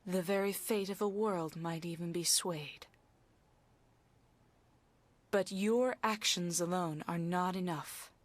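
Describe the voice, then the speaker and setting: An actress playing a stern, haughty fortune teller in a video game, speaking in lofty, scolding, formal phrases. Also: female voice, on the low side. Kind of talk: dramatic video game cutscene acting.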